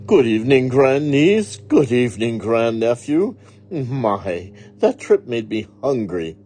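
A man's voice: medium pitch, big pitch swings, slow, warm tone, voice pushed up. A man voicing a forthright old great aunt in a children's story, with declarative, old-fashioned phrasing. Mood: stately greeting. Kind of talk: children's storybook character reading.

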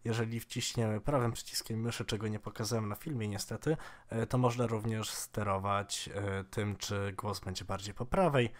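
A man in his twenties giving a casual, informal software tutorial in a slightly halting way. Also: fairly low pitch, fairly even intonation. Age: 20s. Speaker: tech tutorial host.

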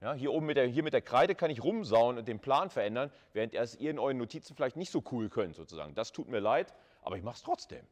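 A man's voice: mid-range voice, animated, fast, few pauses. A man giving a live university lecture, explaining informally and conversationally with rhetorical questions to his students. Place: classroom with chalkboard.

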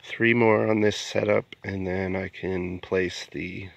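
A man's voice: low-pitched, little pitch movement, takes it slowly, creaky, no echo. A man giving a casual explanatory vlog narration as an amateur builder, recorded outdoors on a handheld camera. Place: outdoors in a wooded yard at a building site. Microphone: handheld phone camera, speaker behind it.

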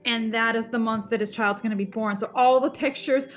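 A rambling woman in her thirties with a fairly high voice, talking on a laptop video call from her home. The audio is dull and lo-fi, with faint music behind her. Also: fairly even intonation, brisk. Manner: rambling, restarts sentences, laughs easily. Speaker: farm sanctuary founder. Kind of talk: casual video call chat. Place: home room. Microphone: laptop webcam on video call.

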